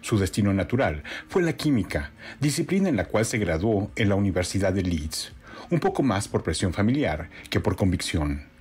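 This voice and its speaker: A man's voice: fairly low pitch, very animated, fast talker, a little mellow, husky. A man with a Mexican Spanish accent narrating a scripted television-style news report as the reporter.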